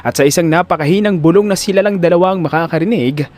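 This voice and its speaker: A man's voice: on the high side, fast talker, a little mellow. A man narrating an audio drama in a scripted, dramatic storytelling style.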